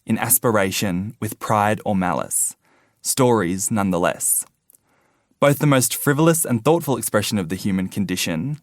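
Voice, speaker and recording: A man's voice: lowish voice, no room sound, studio quality. A man presenting a podcast as host, in a scripted, read-out style.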